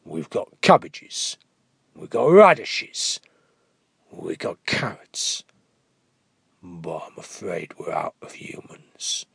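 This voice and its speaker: A big, slow, greedy ogre from a children's storybook, as a storyteller puts him on. He swings from gleeful squeals down to low, breathy mutters, savouring each word. The voice is close and dry. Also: big pitch swings, no echo, full character voice. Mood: slow, muttering. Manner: gleeful, drawn-out and theatrical.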